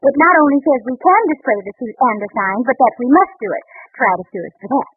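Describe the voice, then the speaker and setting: A woman playing a confident, capable young wife, brisk and assured, in a 1930s radio comedy style. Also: sits high, lively intonation, slightly rough, no echo. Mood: firm. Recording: muffled old 1930s radio recording.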